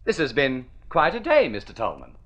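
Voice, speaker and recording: Wildly animated, flippant young heir. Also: male voice, mid-range voice. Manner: offhand, flippant, stammering when flustered. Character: breezy, impatient young heir, flippant and evasive. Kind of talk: golden-age radio drama acting. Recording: muffled vintage radio recording.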